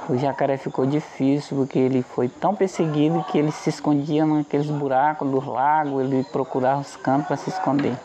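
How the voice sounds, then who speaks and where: A man's voice: fairly high pitch, flat delivery, a little fast, few pauses, warm tone, slight background noise. Man telling old stories outside, muffled recording, roosters and birds around. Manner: plain rambling recollection. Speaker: community resident. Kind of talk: oral storytelling.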